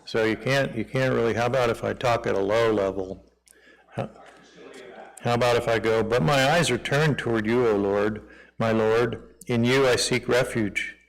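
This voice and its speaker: A man with a loud voice, reading psalms aloud in a church during a microphone sound check. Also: mid-range voice, moderate pace, vocal fry, rough recording. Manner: loud, projecting voice. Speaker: scripture reader testing church microphones. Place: church sanctuary. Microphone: pulpit and lapel mics.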